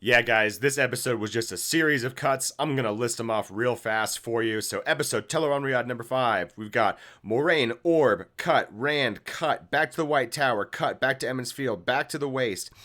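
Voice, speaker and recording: A man's voice: medium pitch, moderate pace, hardly any pauses, sharp tone. A man co-hosting a TV reaction show, giving casual, opinionated commentary in an emphatic, exasperated manner. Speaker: co-host of a TV episode reaction show. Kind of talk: casual opinionated TV review chat.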